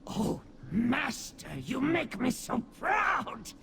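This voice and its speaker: An actor playing a small, gleeful, bloodthirsty creature servant in a fantasy game, theatrical and fawning, with a high gravelly voice. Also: male voice, big pitch swings, slow, sharp tone, slight background noise, exaggerated voice. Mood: fawning, proud. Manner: theatrical, exclamatory, sycophantic. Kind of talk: fantasy game character acting. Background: game music.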